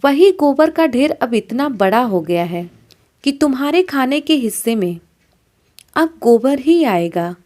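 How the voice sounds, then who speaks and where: A woman's voice: sits high, big pitch swings, a little slow, a little mellow. A woman voicing a stern but merciful male God in a moral story, solemn and admonishing. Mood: solemn, reproving.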